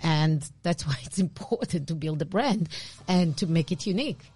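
A woman's voice: deep voice, normal speed, breathy edge. Israeli-accented woman explaining branding. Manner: animated, restarts often, laughs easily. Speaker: podcast co-host, branding expert. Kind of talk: casual conversational explanation.

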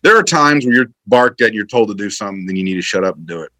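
Low-pitched man explaining things emphatically. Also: expressive, fast, sharp tone, dry recording. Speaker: interview guest, military veteran. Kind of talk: conversational interview storytelling.

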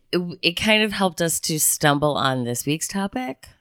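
A woman co-hosting a podcast in casual chat, her low voice bright and crisp. She swings up and down as she tells a story, laughing and swearing as she goes. The recording is close and dry. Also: animated, measured pace, no room sound. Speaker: podcast co-host.

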